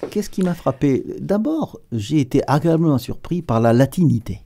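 A middle-aged man with a southern French accent talks as a guest in a radio studio, gesturing as he speaks. His voice is gravelly and dark, and he often hesitates and repeats words before moving on. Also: a little high, very animated, normal speed. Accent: southern French, Provençal. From southern France. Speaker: guest, company director. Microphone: table mic with headphones.

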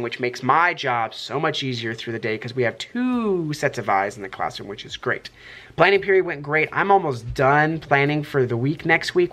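A man's voice: a little high, pushed and bright. A man in his thirties, a kindergarten teacher, talking casually and upbeat to a camera held at arm's length in his classroom. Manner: upbeat, explains things quickly. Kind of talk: casual vlog talk to camera.

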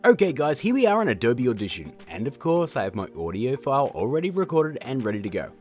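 A man hosting a casual software tutorial in a chatty, jokey way. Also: mid-range voice, sing-song, fast talker, soft background music. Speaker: tutorial host. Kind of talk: casual screen-recorded tutorial narration.

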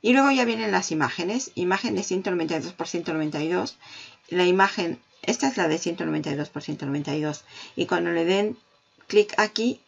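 A woman hosting a marketing tutorial, walking the viewer through software on screen and talking straight to them. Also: lowish voice, gravelly, breathy edge, highs cut off. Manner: explanatory, addresses viewer directly. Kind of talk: screen-recorded software walkthrough.

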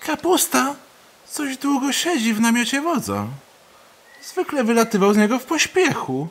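Man doing a squeaky high gossipy soldier, bouncy voice, bit of hiss. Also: very animated, normal speed, a bit bright, heavily acted. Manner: gossipy, mocking. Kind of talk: comic-book audio drama acting.

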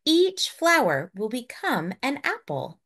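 Slow, expressive woman reading a picture book. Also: a little high, slightly rough. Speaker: children's book read-aloud narrator. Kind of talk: scripted children's picture book narration.

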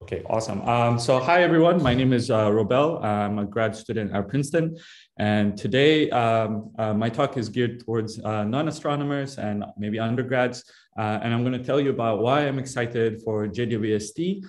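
Young man from Ethiopia presenting online. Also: medium pitch. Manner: friendly, halting with fillers. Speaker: grad student speaker. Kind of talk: online seminar talk. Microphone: video call.

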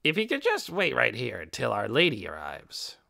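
A man's voice: mid-range voice, huge pitch swings, moderate pace, pushed and bright, full character voice. A man voicing a brash, wary goblin warrior in an audiobook, with a hard voice and slangy, taunting talk. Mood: wary, firm. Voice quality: hard, creaky.

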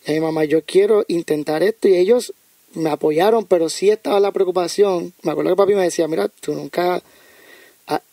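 A man tells stories from his life at an easy, conversational pace. His voice sits high for a man, with a gravelly, fried edge and a mellow, dark tone. He talks in a dry room and often circles back over his own words. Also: brisk, no room sound. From United States (Connecticut). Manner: storytelling, repeats words as he thinks. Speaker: guest, music producer. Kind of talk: casual interview chat.

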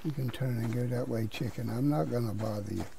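A man's voice: mid-range voice, fairly quick, vocal fry, a little hiss or hum. A man with a Southern US accent giving casual, folksy commentary over a survival game he is playing. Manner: relaxed, folksy drawl with regional words. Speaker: gaming YouTuber.

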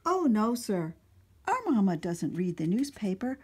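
A woman's voice: mid-range voice, very animated, unhurried, a little mellow, breathy edge. A woman voicing lost young children who earnestly insist on how wonderful their mother is.

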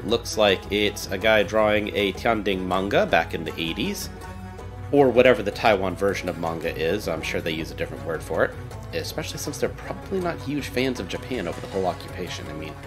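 Chatty man narrating a game. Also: on the low side. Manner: chatty, self-deprecating, reads game dialogue aloud. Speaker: let's play host. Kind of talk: casual game commentary.

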